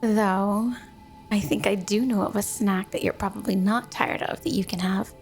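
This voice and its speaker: A woman's voice: mid-range voice, steady tone, moderate pace, creaky, slight background noise, natural voice. A woman voicing a flirty, clingy yandere snow woman spirit in an ASMR roleplay, speaking playfully and coyly to the listener as a doting wife. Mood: sweet, coaxing. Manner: playful, coy, doting, teasing.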